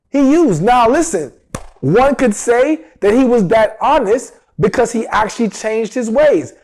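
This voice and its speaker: A man with a fairly high-pitched voice talks straight to his audience like a coach, earnest and emphatic. His voice carries a slight roughness from a cold he is getting over. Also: a little mellow. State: recovering from a cold. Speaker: dating advice host. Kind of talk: direct-to-camera advice talk.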